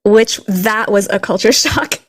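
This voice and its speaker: A woman raised in a Texas border town, co-hosting a podcast in a relaxed, reflective way with light laughs. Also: mid-range voice, a little slow, no echo, studio quality. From Philippines, raised in a Texas border town. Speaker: podcast co-host. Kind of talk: casual conversational podcast chat.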